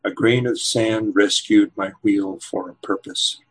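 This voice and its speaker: Husky older guy in his 70s, reads poetry real slow, lots of uhs when he's just talking. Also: fairly low pitch, fairly even intonation, low-quality recording. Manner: slow measured reading, hesitant with fillers when chatting. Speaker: poet and novelist guest. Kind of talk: poetry reading and interview answers.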